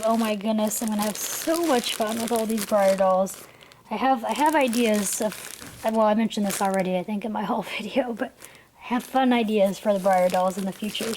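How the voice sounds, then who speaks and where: A woman's voice: mid-range voice, darkish tone, slight background noise, poor audio. A tired young woman rambling and giggling through a casual haul video, her voice worn out. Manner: rambling, giggly, with frequent restarts. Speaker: vlogger.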